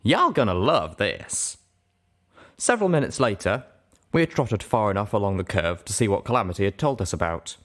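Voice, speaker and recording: A man reading scripted first-person fiction as an audiobook narrator, measured and dramatic, drawing out some words. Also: lowish voice, big pitch swings.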